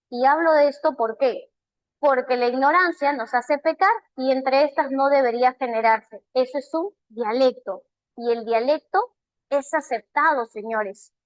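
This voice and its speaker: Woman, Peruvian Spanish accent, online language teacher, encouraging, rhetorical questions, high voice, dry close sound. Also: no echo. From Peru. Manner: warm and encouraging, praising students by name; asks many rhetorical check questions. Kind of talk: live online class, answering student chat questions.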